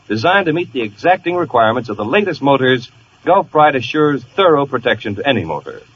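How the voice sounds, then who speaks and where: A man's voice: medium pitch, fairly bright tone, a little hiss or hum. A man with an American accent reading a scripted 1940s radio commercial as announcer. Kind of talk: 1940s radio advertising read. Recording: muffled 1940s radio recording.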